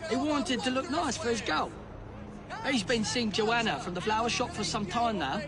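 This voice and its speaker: A man's voice: very high-pitched, moderate pace, crowd noise. A man playing a chatty barber and drinker in a video game. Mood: gossipy, confiding. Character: chatty London barber, a drinker with shaky hands. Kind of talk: video game dialogue acting.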